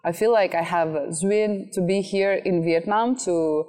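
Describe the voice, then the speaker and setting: A woman's voice: medium pitch, steady tone, unhurried, dark tone. A cheerful woman in her thirties, born in Russia and raised in France, chatting as an interview guest outdoors at a villa and laughing often. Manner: cheerful, laughs often, speaks in short phrases. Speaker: guest, MC and content creator. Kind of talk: casual interview chat.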